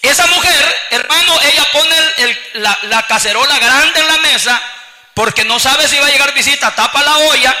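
A man's voice: extremely high pitch, moderate pace, sharp tone. A man from El Salvador with a Salvadoran accent, preaching a fervent sermon and often calling out to the congregation as brothers. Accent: Salvadoran Spanish. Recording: long reverb of a large hall.

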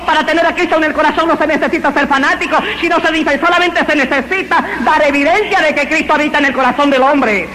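Fervent high-voiced man testifying. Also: fairly even intonation, fast talker, few pauses, bright, forceful tone, slight background noise, low-quality recording. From Honduras. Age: 20s. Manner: fervent, emphatic storytelling. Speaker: speaker giving a religious testimony. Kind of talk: evangelical testimony.

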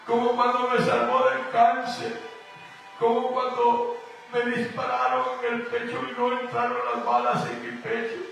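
A high-voiced man preaches slowly and fervently, stretching his phrases and sometimes almost singing them. He stands back from the mic in a heavily echoing space. Soft music plays behind him. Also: vocal fry, recorded from a distance. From Guatemala. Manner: fervent, drawn-out preaching, sometimes half-sung. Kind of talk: sermon.

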